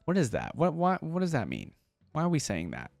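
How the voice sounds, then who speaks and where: A man's voice: fairly high pitch, huge pitch swings, brisk, creaky, darkish tone, dry recording, soft background music. A man hosting a reaction video, giving casual, informal commentary as he thinks aloud.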